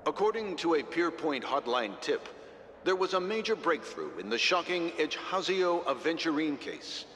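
Higher-voiced formal newsman. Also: expressive, moderate pace, a bit bright. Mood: official. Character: formal male news broadcast announcer. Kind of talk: scripted news bulletin in a video game. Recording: reverberant broadcast with faint noise.

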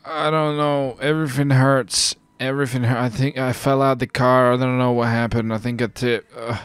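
A man with a gravelly voice chatting casually and slangily while playing a roleplay game. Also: medium pitch, level tone, moderate pace, no room sound. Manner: slangy, says dude and baby often. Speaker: gaming video host, roleplay player. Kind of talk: casual gameplay roleplay chat. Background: car game sounds.

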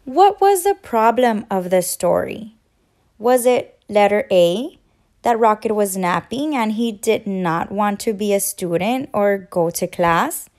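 A woman's voice: medium pitch, animated, slow pace, a little mellow. A woman teacher reading and quizzing for young children in an instructional, encouraging manner. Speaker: teacher and children's story reader. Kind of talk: children's read-aloud and quiz.

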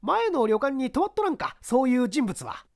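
A brash, impatient newspaperman, acted by an audiobook reader from a detective story. He talks bluntly in rough slang, pitched up and hugely animated. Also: fairly quick. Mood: eager, prodding. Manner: blunt, rough colloquial speech. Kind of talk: audiobook character acting.

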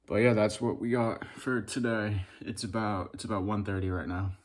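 A man's voice: fairly low pitch, flat delivery, moderate pace, dry recording. Rambling young man vlogging on his phone. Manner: rambling, with many ums and self-corrections. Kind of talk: casual daily vlog to camera. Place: house living room, then park outdoors, then inside a car. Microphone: phone camera held at arm's length.

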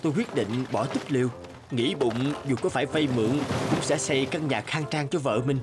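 Man voicing an expressive, penniless cartoon guitarist. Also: medium pitch, moderate pace, loud music behind the voice, natural voice. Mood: content. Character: poor, hungry, patient guitarist turned fisherman. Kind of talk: cartoon fairy-tale dubbing.

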